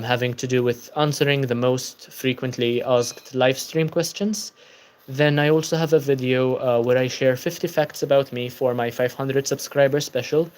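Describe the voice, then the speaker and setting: A man's voice: mid-range voice, a little mellow. Tired young guy on a livestream, chatty, answering comments, sounds worn out. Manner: tired, chatty, reads viewer comments aloud. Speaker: livestreaming book channel host. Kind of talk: casual livestream chat.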